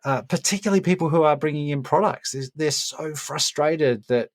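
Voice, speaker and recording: A man hosting a casual interview podcast, talking in measured short phrases with pauses between them. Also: a little high, dry recording, studio quality. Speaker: podcast host.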